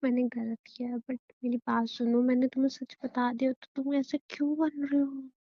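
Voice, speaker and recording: Woman playing a high-voiced, nervous wife. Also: level tone, moderate pace, no echo. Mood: anxious, placating. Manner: nervous, stammering, repetitive, soothing. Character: frightened young wife, anxiously placating her husband. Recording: slightly muffled lo-fi audio.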